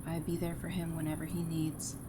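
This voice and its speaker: A woman's voice: sits low, completely flat delivery, brisk, natural voice. A woman playing a grieving, devoted partner and mother in an audio drama, on a noisy recording. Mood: concerned, tender. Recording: noisy home recording, background hiss.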